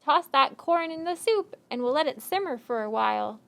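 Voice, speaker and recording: Puppet show vagabond, played by a woman: high-pitched, swooping delivery, theatrical and deliberate, a friendly coaxer. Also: animated, creaky, a bit bright, heavily acted. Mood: cheerful, directing. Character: friendly, tired, hungry wandering stranger puppet, cleverly coaxing. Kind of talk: children's puppet show acting.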